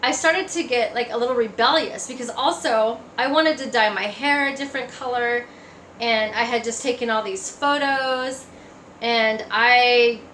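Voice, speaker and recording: A woman's voice: fairly high pitch, a little slow, fairly bright tone. A woman giving a personal testimony in a casual, conversational storytelling way. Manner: conversational, acts out remembered remarks. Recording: echoey room, faint background noise.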